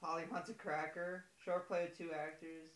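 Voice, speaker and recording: A man's voice: a little high, little pitch movement, moderate pace, a bit bright, slight background noise. A man hosting a podcast, bantering casually with friends in an echoey room. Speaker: podcast host. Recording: roomy, echoing room sound.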